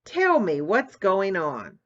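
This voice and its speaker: A woman's voice: mid-range voice, wildly swooping pitch, slow pace, lo-fi sound. A woman doing a patient mother turtle. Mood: firm calm.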